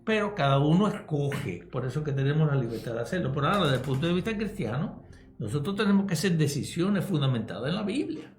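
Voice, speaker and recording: A man's voice: fairly high pitch, sing-song, fast, reverberant. Older Cuban man, emphatic radio talk. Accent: Cuban Spanish. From Cuba. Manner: emphatic, checks in with "¿ok?". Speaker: radio co-host, pastor and psychologist.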